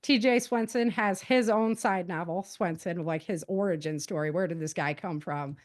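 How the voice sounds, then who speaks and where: A woman with an American accent speaking casually as a guest author, telling the story of her own novels in an animated, conversational way. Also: fairly low pitch, moderate pace.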